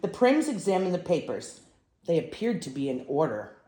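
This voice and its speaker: An older woman reads a picture book to children with animated, emphatic expression. Her voice sits a little low and is heard in a roomy, echoing space. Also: normal speed. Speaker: grandmother reading stories. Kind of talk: storybook read-aloud for children.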